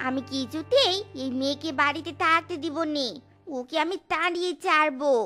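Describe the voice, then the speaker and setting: A woman voicing a cruel, scheming, sharp-tongued aunt in a cartoon tale, with dramatic scolding delivery. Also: high-pitched, moderate pace, faint music behind. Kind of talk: exaggerated cartoon voice acting.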